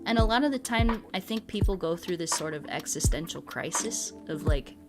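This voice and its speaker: A young woman with a slightly low voice talks casually to her livestream viewers. She muses out loud in a conversational way while music plays clearly behind her. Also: animated, moderate pace. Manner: conversational, musing, answers viewer comments. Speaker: livestream host. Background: background music.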